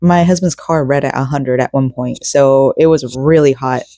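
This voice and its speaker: A woman's voice: a bass voice, steady tone, moderate pace, mellow, no room sound, clean studio sound. Chatty, tired young woman vlogging. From South Korea, raised in Florida. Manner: rambling and chatty, with frequent fillers and self-corrections. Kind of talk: casual personal vlog chat while crocheting.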